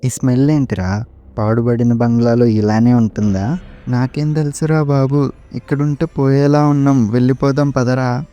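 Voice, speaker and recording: A man's voice: medium pitch, fairly even intonation, normal speed, mellow, lightly acted. A man playing a young guy on a haunted-house dare in a horror cartoon, colloquial and urgent. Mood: nervous. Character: two young male friends on a haunted-house dare, jittery. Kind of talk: horror cartoon story dialogue. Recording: music behind the voice.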